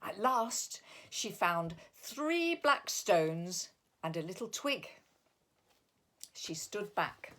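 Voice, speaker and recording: Woman reading a picture book to little kids, slow n really lively up and down voice. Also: medium pitch. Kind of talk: expressive storybook narration for young children.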